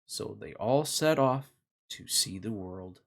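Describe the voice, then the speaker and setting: A man hosting a children's read-along, narrating a picture book expressively with deliberate, sing-song emphasis for young listeners. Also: lowish voice, lively intonation, creaky.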